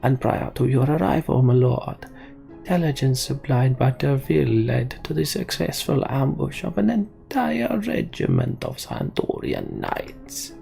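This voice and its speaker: A servile orc officer from a fantasy game, acted by a man, reports in a creaky voice at a measured pace. The manner is oily and deferential, and music plays behind. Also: medium pitch, huge pitch swings, warm tone, heavily acted. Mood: deferential, proud.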